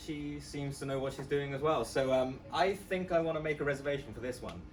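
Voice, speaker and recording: A man's voice: medium pitch, fairly quick. Casual man demoing an app from afar. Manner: casual, chatty, with many fillers. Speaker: student presenter. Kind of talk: live app demo walkthrough. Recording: voice from far off the mic.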